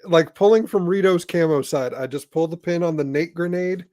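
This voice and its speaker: An American man from Indiana hosting a casual podcast interview in a halting, rambling way. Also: fairly high pitch, a little mellow, no echo, studio quality. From Indiana, United States. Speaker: podcast host.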